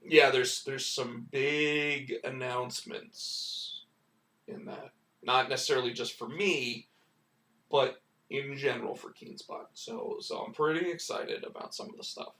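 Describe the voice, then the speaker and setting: A man hosting a casual live stream, going hoarse and rambling with lots of fillers and restarts. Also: mid-range voice, fairly even intonation, unhurried, bright, forceful tone, echoey room, far from the mic. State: losing his voice, hoarse. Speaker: comic book writer and stream host.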